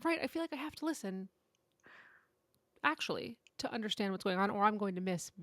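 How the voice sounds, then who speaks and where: A woman's voice: mid-range voice, expressive, fairly quick, a bit bright, no echo. Informal woman podcast co-host, close dry sound. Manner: informal and conversational, often giggly. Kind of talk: casual chat.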